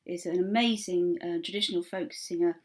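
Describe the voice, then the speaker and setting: A woman's voice: on the low side, fairly even intonation, brisk. A woman co-hosting a show in casual chat, hesitant but friendly and effusive as she introduces performers. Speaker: co-host.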